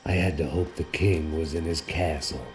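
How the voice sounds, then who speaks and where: An actor playing a world-weary, cynical middle-aged American ex-cop who narrates a crime game in a deadpan, sardonic voice. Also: male voice, extremely low pitch, normal speed, over music, low-quality recording. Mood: grim, dry. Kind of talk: noir voice-over narration.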